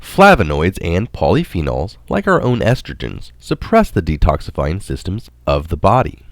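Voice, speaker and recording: Deep-voiced man reading aloud, swooping intonation. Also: wildly swooping pitch, no echo. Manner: deliberate, marks quotations aloud. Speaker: narrator reading articles aloud. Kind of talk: read-aloud of a scientific article.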